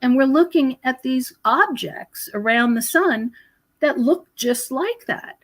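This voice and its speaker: A woman's voice: high voice, animated, takes it slowly, a bit bright. A woman speaking as an interview guest, explaining her research in a conversational way.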